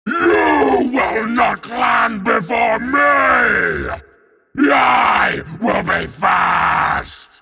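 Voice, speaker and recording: Huge gravelly demonic warlord, shouting. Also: male voice, very high voice, animated, a loud crowd, pitched above the performer's own voice, heavily acted. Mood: imperious rage. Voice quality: gravelly, roaring. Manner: bellowing, drawn-out imperious shouts. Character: huge enraged demonic warlord primarch, roaring and bloodthirsty.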